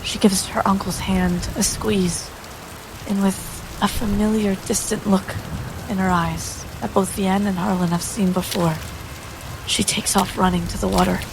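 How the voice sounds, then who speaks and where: A woman playing a tabletop game, narrating her character's actions in a measured, dramatic way. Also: medium pitch, little pitch movement, creaky, noisy background.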